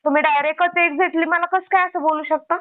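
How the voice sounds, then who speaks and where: A woman's voice: extremely high pitch, steady tone, fast talker. A woman arguing on a phone call, confrontational and firing one indignant rhetorical question after another. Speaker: prank-call video creator. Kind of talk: argumentative phone conversation. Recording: narrow tinny phone-line sound.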